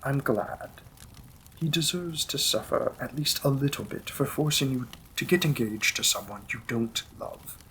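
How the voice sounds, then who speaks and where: A man's voice: mid-range voice, expressive, a little hiss or hum, low-quality recording. A man voicing a devoted demon king in a romantic fantasy roleplay, speaking intimately and formally with teasing, possessive affection. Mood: tender, protective. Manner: slow, intimate, formal wording, gently amused.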